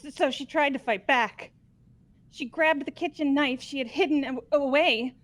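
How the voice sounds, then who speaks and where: Woman voicing a high-pitched, timid schoolgirl. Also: moderate pace, fairly bright tone. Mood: timid, unsure. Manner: hesitant, stammering. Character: timid, hesitant young girl student. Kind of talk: amateur fan voice acting of a mystery game.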